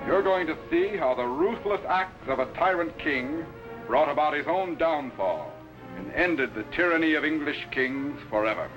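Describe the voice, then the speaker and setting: A man's voice: fairly high pitch, expressive, normal speed, over music, natural voice. A middle-aged man playing a commanding, learned time-lab captain in a 1950s television serial, clipped and precise. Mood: instructive, assured. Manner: clipped, authoritative, precise orders. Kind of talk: 1950s TV science fiction acting. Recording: noisy 1950s film soundtrack.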